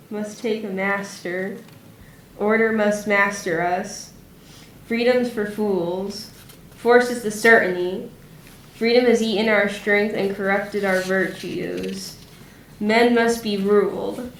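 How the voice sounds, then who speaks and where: A teenage girl plays a stern female orator in a verse drama. She speaks slowly and sententiously in an echoey room, a little away from the mic. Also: mid-range voice, fairly even intonation, far from the mic, a little hiss or hum. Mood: stern, resigned. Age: teens. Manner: slow, sententious. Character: stern orator preaching submission to a master. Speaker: student actor.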